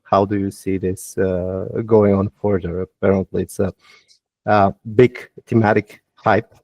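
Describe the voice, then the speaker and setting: A man asking semi-formal questions on an investor earnings call in a hesitant, halting way. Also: deep voice, flat delivery, darkish tone.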